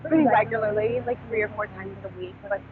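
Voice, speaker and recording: A woman's voice: mid-range voice, fast. A woman in her twenties from Texas, talking openly and reflectively in a street interview, with many hesitations. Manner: open, reflective, many ums. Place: outdoors near a park. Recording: phone-like audio with outdoor noise.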